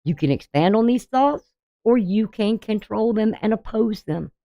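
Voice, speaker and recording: A woman with a deep voice giving a casual daily astrology reading, talking bluntly and conversationally with frequent "you know". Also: animated, normal speed, mellow, dry recording, clean studio sound. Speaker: astrology channel host.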